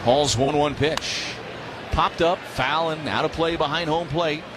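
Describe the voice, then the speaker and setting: A man's voice: a little high, a little slow. American baseball play-by-play man over stadium noise. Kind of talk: live radio baseball commentary. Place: stadium broadcast booth during a game. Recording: clear crowd noise behind the voice. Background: ballpark ambience.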